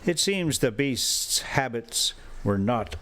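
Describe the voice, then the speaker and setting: A man hosting a travel vlog from inside a motorhome, rambling casually and reading a story aloud in a halting, folksy way. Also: mid-range voice, lively intonation, pushed and bright. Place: inside a motorhome while driving.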